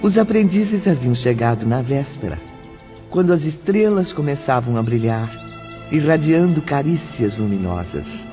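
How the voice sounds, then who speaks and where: A man reading scripted audiobook narration in a lyrical, deliberate storytelling manner. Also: mid-range voice, very animated, mellow. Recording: dull lo-fi audio with background music.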